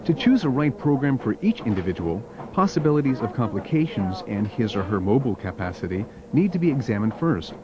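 A man's voice: medium pitch, very animated, drawn-out words, few pauses, crowd noise. A man narrating a scripted documentary as its narrator. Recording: dull lo-fi video soundtrack. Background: background music.

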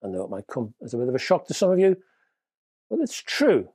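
A man's voice: medium pitch, huge pitch swings, fairly quick, darkish tone, slightly rough, no room sound, studio quality. A man in his fifties with a stuffy, wheezy cold, reviewing hi-fi gear to camera in a casual, wry, emphatic way, recorded on a lapel mic in a room at home. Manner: chatty, wry, emphatic, leans on key words. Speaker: hi-fi reviewer, channel host.

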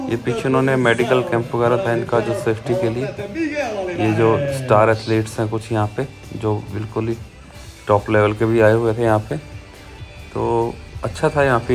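A man casually narrating a vlog outdoors at a street race, talking loosely about what he sees. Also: on the low side. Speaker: vlogger. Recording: loud music over the voice.

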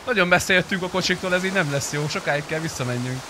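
A man, a streamer, bantering casually in character during an online roleplay game. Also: sits high. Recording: faint game car noise behind. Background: game car and ambience sounds.

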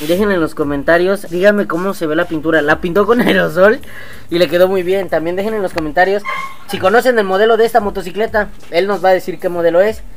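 A man's voice: high-pitched, fast, slight background noise. A man in his thirties with a Mexican accent, a motovlog host chatting casually and talking straight to his viewers in an outdoor workshop yard. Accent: Mexican Spanish. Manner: chatty, slangy, addresses viewers directly. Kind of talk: casual vlog chat.

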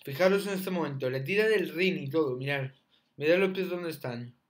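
A man's voice: a little high, moderate pace. Young man mocking fights on webcam. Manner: mocking, repetitive exclamations. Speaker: reaction video host. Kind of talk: casual reaction commentary.

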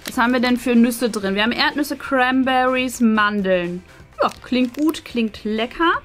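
A woman's voice: fairly high pitch, a little slow, fairly bright tone. A woman, hosting a casual unboxing and chattily presenting items to camera. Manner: chatty, presenting items while handling them. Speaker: host of a craft and unboxing channel. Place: small decorated room indoors. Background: background music.

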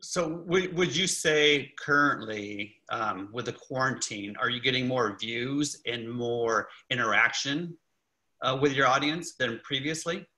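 A man's voice: mid-range voice, unhurried, sharp tone, poor audio. A man in his forties hosting a video call panel from his living room, asking friendly questions with uh fillers. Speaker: host. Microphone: laptop webcam on video call.

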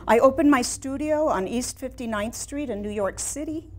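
A woman in her sixties playing a proud, wistful woman painter in a theatrical, deliberate staged reading. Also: high voice, voice pushed up, close to an everyday voice. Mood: wistful, reflective. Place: small room in a house before a seated audience.

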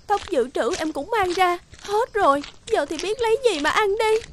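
A woman voicing a gentle, dutiful young peasant wife in an animated folk tale. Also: extremely high pitch, normal speed. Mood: worried.

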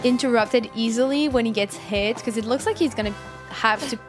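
Female streamer, twenties, fairly high voice, chatty casual asides over game music. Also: fairly quick. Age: 20s. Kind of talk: casual gaming stream commentary.

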